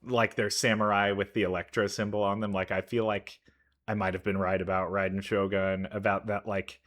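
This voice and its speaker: Bright-voiced man rambling enthusiastically. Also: on the low side, little pitch movement, brisk. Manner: rambling, thinking aloud, enthusiastic. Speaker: reaction video host. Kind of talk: casual game trailer commentary. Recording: music behind the voice.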